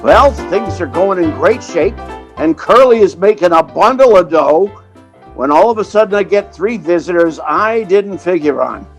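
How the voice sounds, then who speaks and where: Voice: medium pitch, animated, normal speed, soft background music, close to an everyday voice. A performer playing a brash, colloquial theatrical agent who narrates and acts in an old-time radio comedy.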